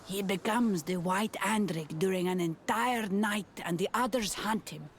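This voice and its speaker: A woman's voice: mid-range voice, a little hiss or hum, lightly acted. A woman playing a stern, solemn villager in a video game, speaking formally. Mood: solemn, reverent. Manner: solemn, measured, formal phrasing. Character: stern female village storyteller, solemn and testing. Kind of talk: video game dialogue acting.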